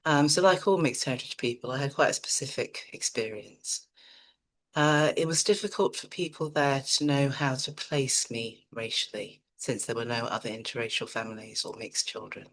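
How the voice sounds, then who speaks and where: A middle-aged woman from Nigeria, a psychotherapist presenting an online seminar in a calm, measured, reflective way. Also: very deep voice, low-quality recording.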